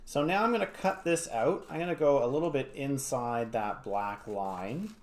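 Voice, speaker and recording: A man's voice: mid-range voice, normal speed. A man giving a casual, step-by-step craft tutorial as an art educator, rambling a little with informal asides. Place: home studio. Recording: clear background noise, small clicks.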